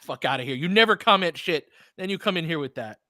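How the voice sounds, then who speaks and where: Animated, bright-voiced man commentating basketball. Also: on the high side, fast, no room sound, studio quality. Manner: informal and blunt, salty language. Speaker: host of a live basketball play-by-play stream. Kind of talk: casual live sports commentary and chat.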